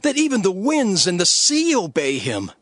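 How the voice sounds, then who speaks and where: A high-pitched, overwrought disciple. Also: male voice, huge pitch swings, normal speed, heavily acted. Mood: awestruck. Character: frightened, awestruck disciple in a storm. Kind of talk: dramatized scripture reading. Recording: loud music behind the voice.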